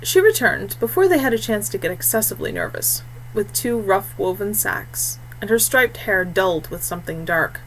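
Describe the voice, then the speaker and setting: A woman's voice: medium pitch, sing-song, moderate pace, fairly bright tone, a little hiss or hum, poor audio. A woman reading scripted fiction as an audiobook narrator.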